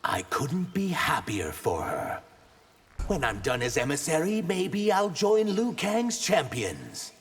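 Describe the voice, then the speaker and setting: Expressive, earnest reptilian emissary. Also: male voice, on the high side, slow pace, slightly rough, exaggerated voice. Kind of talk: video game fight banter. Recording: loud music behind.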